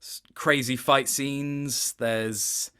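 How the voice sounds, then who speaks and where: A man's voice: mid-range voice, steady tone, drawn-out words, pushed and bright, smooth. Man chatting in a rambling interview. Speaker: voice actor, interview guest. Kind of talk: casual interview chat, anecdotal.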